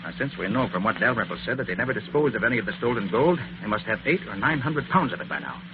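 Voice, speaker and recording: An actor playing a confident, sharp-witted master detective in an old radio drama, explaining things in a brisk, assured way. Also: male voice, fairly low pitch, big pitch swings. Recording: muffled, hissy old radio recording.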